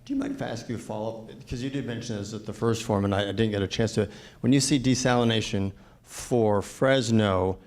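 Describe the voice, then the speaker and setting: A man moderating a public forum who speaks in a friendly, halting way and often restarts his sentences. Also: fairly low pitch, a little mellow.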